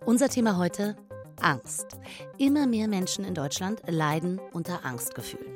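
Female radio interviewer, formal and politely probing, slightly low pitch, music underneath. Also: very animated. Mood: earnest, formal. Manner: measured, newsreader-like, politely probing. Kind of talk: radio talk show parody in drama.

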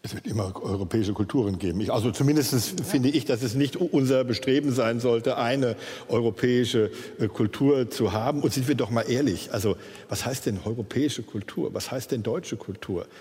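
A man's voice: mid-range voice, a little fast, mellow, long reverb tail. A man from Hesse speaking as a panel guest, emphatic and fond of rhetorical questions. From Hesse, Germany. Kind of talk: panel discussion.

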